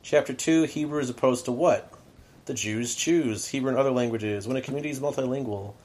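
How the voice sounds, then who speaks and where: A man's voice: medium pitch, fairly quick, darkish tone. A man hosting a podcast in casual chat, rambling with lots of fillers and laughing often. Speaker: podcast host.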